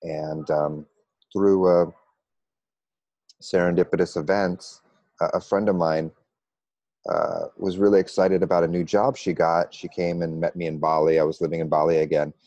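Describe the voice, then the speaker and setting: A middle-aged man with an American accent, telling his personal story conversationally with a halting, pause-filled delivery. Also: low-pitched, flat delivery, dark tone, dry recording, muffled recording. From United States. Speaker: interview guest, meditation coach.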